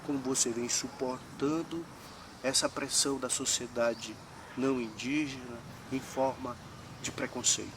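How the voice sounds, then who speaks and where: A man's voice: mid-range voice, steady tone, measured pace, background noise. A Brazilian man interviewing, speaking formally and at length in legal terms. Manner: formal, long-winded, legalistic phrasing. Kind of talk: interview questions.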